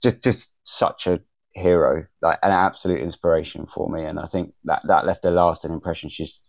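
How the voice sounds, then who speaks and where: A man talking casually on a panel, enthusiastic but halting, often restarting his sentences. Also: deep voice, little pitch movement, creaky, no room sound, dull audio. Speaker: podcast host and panel guest. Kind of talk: casual live panel talk.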